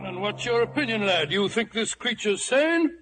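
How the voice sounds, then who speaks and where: An actor playing an excitable, pompous country squire with a hearty manner in an adventure drama. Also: male voice, high-pitched, normal speed, over music. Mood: puzzled. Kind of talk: dramatized adventure story acting.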